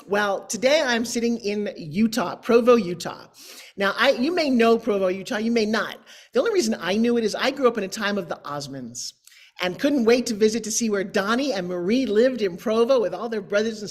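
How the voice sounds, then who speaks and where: A middle-aged woman from New York, chatting informally and emphatically as a show host on a video call. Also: medium pitch. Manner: emphatic, theatrical, conversational asides. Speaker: show host, motivational speaker. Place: indoor room, on a video call. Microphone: video call microphone.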